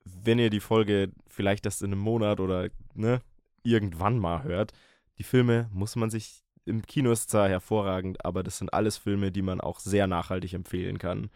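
A man's voice: lowish voice, fairly even intonation, a little mellow, clean tone, dry recording, studio quality. A young man co-hosting a casual film podcast, playful and teasing. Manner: playful, teasing, quick with jokes. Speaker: podcast co-host.

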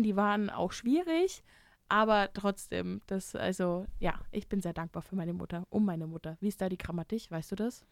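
A woman's voice: medium pitch, no echo, clean studio sound. A young woman from Bavaria co-hosting a podcast, talking in a rambling, self-mocking way and laughing often. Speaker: podcast co-host. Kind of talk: casual chat.

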